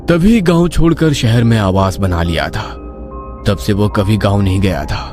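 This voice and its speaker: A male storyteller narrates a scripted horror tale. His voice is fairly low with lively, wide-moving intonation. Music is clearly heard behind him.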